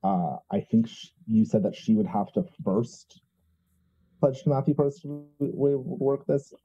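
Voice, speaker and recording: A man playing a tabletop roleplaying game, chatting and narrating his character in a halting, self-correcting way. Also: medium pitch, expressive, warm tone, a little hiss or hum. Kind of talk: casual chat and character narration. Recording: slightly dull, muffled audio.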